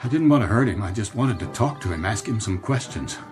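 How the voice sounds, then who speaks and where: An actor playing a desperate middle-aged man in a radio drama, earnest, halting and pleading as a grown son. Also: lowish voice, lively intonation, faint music behind, close to an everyday voice. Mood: distraught, insistent.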